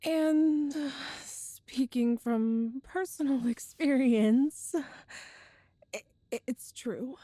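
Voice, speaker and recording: A very high, unhurried young woman's voice performed by an actress, recorded close and dry. She is a tender, nervous girlfriend in an intimate ASMR roleplay, halting and earnest as she explains herself. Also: dark tone, dry recording. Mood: nervous, confiding. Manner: hesitant, halting, earnest, breathy sighs and nervous laughs.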